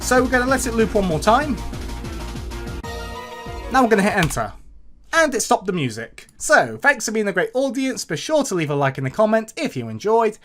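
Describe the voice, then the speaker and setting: A woman presenting a step-by-step coding tutorial in a clear, instructional way. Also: medium pitch, very animated, moderate pace, a bit bright, soft background music.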